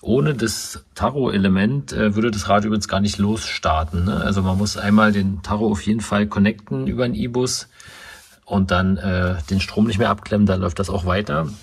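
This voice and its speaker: A man's voice: on the low side, moderate pace, creaky, slightly rough. A man casually explaining car electronics while working in a workshop, filming from his own point of view. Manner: casual, explanatory, with frequent fillers. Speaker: car mechanic and video host. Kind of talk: hands-on workshop walkthrough. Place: indoor car workshop beside a stripped car.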